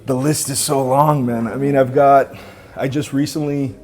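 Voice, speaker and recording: A man's voice: mid-range voice, steady tone, normal speed, darkish tone. A man in his forties talking casually about his collection, with rambling restarts and a laid-back way of speaking. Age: 40s. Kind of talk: casual conversation. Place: indoor watch boutique event. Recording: voices over a chatty crowd.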